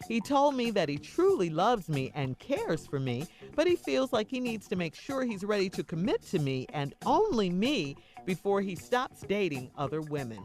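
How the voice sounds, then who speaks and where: Lady radio host reading stuff out, animated delivery, faint music behind. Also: medium pitch, normal speed, few pauses. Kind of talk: radio hosting, reading letters and ads. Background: soft music.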